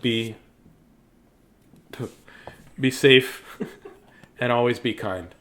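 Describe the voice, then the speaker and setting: A man's voice: mid-range voice, very slow, a bit bright. A man hosting a live bedtime reading, chatting casually in a rambling, self-deprecating way. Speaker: bedtime story reader and host. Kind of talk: casual live chat to viewers.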